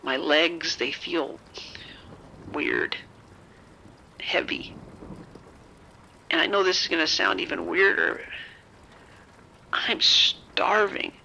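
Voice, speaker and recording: An actor playing a frightened, bitten man who is falling sick, halting and whispery in a horror audio drama. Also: on the high side, very animated, vocal fry, bright, forceful tone, a little hiss or hum, full character voice, low-quality recording. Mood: weak, bewildered. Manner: halting, whispery, repeating himself. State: sick, weak, faltering. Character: frightened, sickening bitten man, unravelling and rambling.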